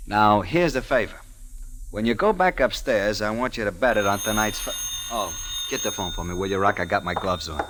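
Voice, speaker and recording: An actor playing a pushy, furtive prizefighter who talks in slang, in an old-time radio drama. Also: male voice, lowish voice, brisk, lightly acted. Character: furtive, pushy male prizefighter, street-talking. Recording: noisy old radio recording.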